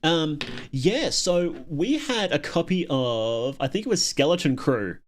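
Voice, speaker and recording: A man's voice: fairly high pitch, animated, a little slow. A man hosting a casual livestream, chatting to viewers and thinking aloud in a rambling, opinionated way. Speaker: livestream host.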